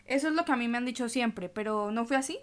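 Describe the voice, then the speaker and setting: High pitched woman co-host on radio, nosy and sceptical, asks scripted questions. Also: fast talker. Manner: inquisitive, sceptical. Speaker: questioning co-presenter.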